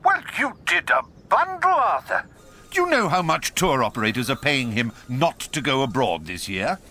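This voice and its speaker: An actor playing an excitable, gushing newspaper journalist who stammers through florid slang in a radio comedy. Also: male voice, mid-range voice, moderate pace. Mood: excited, babbling. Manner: stammering, florid, swooping delivery. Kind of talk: radio comedy drama acting.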